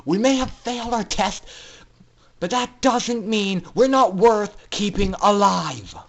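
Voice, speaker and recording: Man voicing young female storybook pony, raised pitch, earnest, determined, emotional. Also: expressive, creaky, full character voice. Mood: defiant. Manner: tearful, earnest, halting under emotion.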